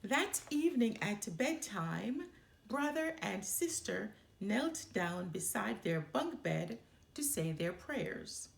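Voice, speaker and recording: A woman reading a children's storybook aloud with expressive, dramatic delivery. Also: mid-range voice, slow, gravelly. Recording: roomy echo.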